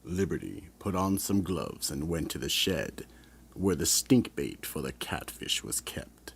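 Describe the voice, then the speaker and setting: A man narrating a radio mystery drama in a deliberate, ominous storytelling manner. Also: rumbling low, big pitch swings, gravelly, slight background noise.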